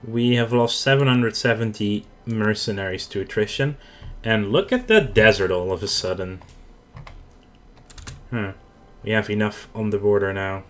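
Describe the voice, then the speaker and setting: A man's voice: fairly low pitch, normal speed. A man with a Dutch accent talking casually through his strategy game as he plays, thinking aloud and correcting himself as he goes. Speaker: gaming channel host. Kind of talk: casual let's play commentary.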